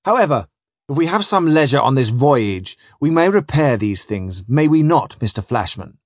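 A man's voice: medium pitch, very animated, normal speed, no room sound, clean studio sound. A man voicing a pompous, scholarly, bad-tempered ship's captain in an audiobook story, pedantic and declamatory. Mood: condescending, pedantic. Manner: pedantic, declamatory, quoting Latin.

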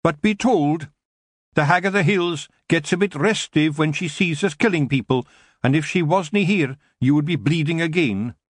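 A small, wild Scottish fairy warrior growls out threats in a high, loud voice, as a man puts him on. His tone is menacing and forceful. The recording is close and dry. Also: normal speed, no room sound, natural voice. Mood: threatening.

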